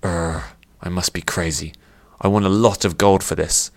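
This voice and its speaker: A man's voice: low-pitched, steady tone, normal speed, no room sound. A man voicing an easily riled, grumbling airship captain in an audiobook, blunt and exasperated. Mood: grudging. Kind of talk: audiobook character voice.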